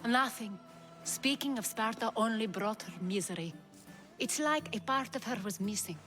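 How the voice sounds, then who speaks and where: A woman's voice: on the high side, expressive, moderate pace, over music, natural voice. An actress playing a proud, commanding female leader in a video game, with a poised, dramatic delivery. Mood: reflective. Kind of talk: video game cutscene acting.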